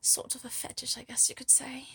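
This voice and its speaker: A British woman whispering intimately in a slow, breathy murmur, in an erotic audio style. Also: sits high, monotone, sharp tone. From United Kingdom.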